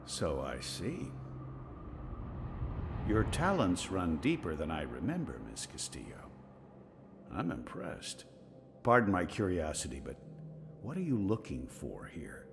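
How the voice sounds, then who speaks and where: A man's voice: deep voice, wildly swooping pitch, measured pace, vocal fry, pauses often, over music, full character voice. An actor playing a scholarly, curious, dry-witted older man in a video game, musing in a bookish way. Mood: dryly curious. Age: middle-aged. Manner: bookish, musing, exclaiming over finds. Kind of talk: video game dialogue acting.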